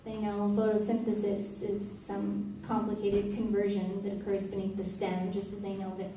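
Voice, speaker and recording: A woman reading a first-person monologue on stage in a theatrical, deliberate way, with emphatic delivery and pauses. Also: medium pitch, monotone, dark tone. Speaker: reader performing a monologue. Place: live reading event in a tavern. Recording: echoey tavern room, distant mic, noisy.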